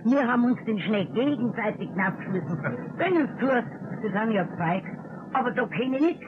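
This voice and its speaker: A meddling Bavarian neighbour woman played by an actress complains with emphatic indignation. The vintage radio drama recording is thin, tinny and clearly noisy. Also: fairly high pitch, lively intonation, moderate pace, close to an everyday voice. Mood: scornful, determined. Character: indignant, meddling female neighbour, nagging gossip. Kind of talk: 1960s German radio play acting.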